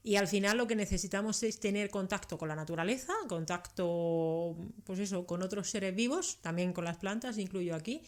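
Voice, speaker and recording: A woman's voice: mid-range voice, normal speed, hardly any pauses, a little mellow. A middle-aged woman from Finland, a native Finnish speaker, explains things conversationally as an expert interview guest, adding frequent tag questions. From Karelia, southeastern Finland. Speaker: interview guest, doctor and science communicator.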